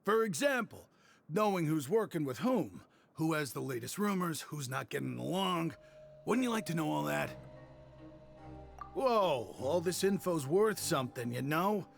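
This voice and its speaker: A man voicing a burly, self-important prison gossip in a video game, gravelly, boastful and conspiratorial. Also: on the high side, big pitch swings, a little slow, soft background music, exaggerated voice.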